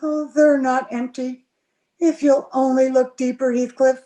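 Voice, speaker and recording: A woman plays an unhappy, lovelorn young bride in a gothic radio play. Her voice is high and her pace is slow. She is pleading and emotional, in a theatrical old radio manner. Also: little pitch movement, warm tone. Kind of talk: old-time radio acting.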